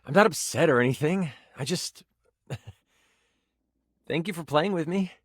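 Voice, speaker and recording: A man's voice: a little high, expressive, takes it slowly, no echo, clean studio sound. An actor voicing a personified gaming die as a nerdy, earnest, awkward man who stammers and gets theatrical, in video game style. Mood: awkward, let down. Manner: hesitant, stammering, effusive, theatrical gamer talk.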